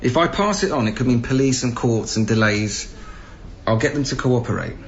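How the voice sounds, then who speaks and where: An actor playing a patient, conscientious young council officer, gentle and hesitant, in a naturalistic radio drama. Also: male voice, medium pitch, expressive, moderate pace, muffled recording, a little hiss or hum, close to an everyday voice. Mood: earnest, conflicted.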